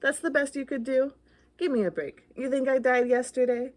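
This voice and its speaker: A woman's voice: high voice, fairly even intonation, moderate pace, close to an everyday voice. A woman voicing a jumpy, bluffing little ghost in a children's picture book, theatrical and full of anxious questions. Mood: indignant, pleading.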